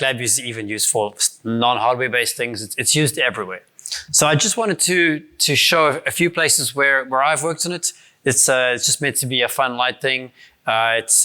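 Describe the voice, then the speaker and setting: A man in his forties from South Africa, with a South African accent softened by years abroad, giving a casual conference talk with many fillers and restarts. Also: medium pitch. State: voice strained from shouting the night before. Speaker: conference speaker. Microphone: handheld or stand mic.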